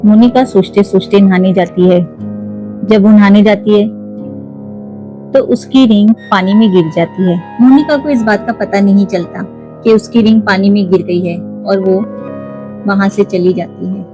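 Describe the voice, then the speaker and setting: A woman's voice: mid-range voice, a little slow, warm tone, faint music behind. A woman narrating a scripted toy cartoon story as its storyteller.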